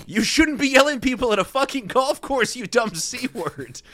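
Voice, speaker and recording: A belligerent male golfer, acted out by a man reading a comic story, spitting threats and swearing. The voice is pitched high and sharp, with big swings. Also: lively intonation, normal speed, full character voice. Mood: belligerent, threatening. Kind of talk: dramatic comic quote reading.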